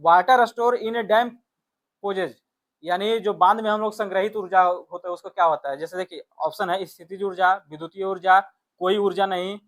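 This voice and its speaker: Male exam tutor, high-voiced, explains step by step and restates key points. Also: steady tone, normal speed, a bit bright. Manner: instructive, repeats points and reads questions aloud in Hindi and English. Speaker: exam coaching teacher. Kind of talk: explanatory test-prep lesson.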